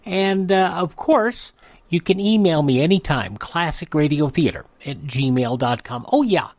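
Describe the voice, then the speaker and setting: A man's voice: a little high, no room sound. A man hosting a radio show, announcing and chatting directly to listeners.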